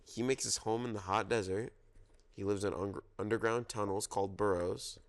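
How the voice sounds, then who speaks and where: A man reading a children's picture book aloud, pausing to prompt listeners for guesses. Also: fairly low pitch, fairly even intonation. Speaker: storybook reader at a children's story time.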